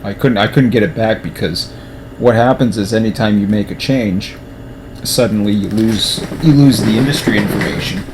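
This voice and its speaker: A man's voice: on the low side, normal speed, background noise. A man in casual rambling commentary, restarting his sentences and talking to himself, his throat going dry. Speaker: train simulator streamer. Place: at a table at home.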